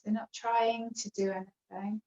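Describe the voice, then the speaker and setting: A woman teaching yoga, guiding a relaxation practice with gentle, unhurried phrasing and long pauses. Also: mid-range voice, little pitch movement, reverberant. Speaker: yoga teacher leading a guided relaxation class. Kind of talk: guided meditation instruction. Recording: dull, lo-fi video call sound.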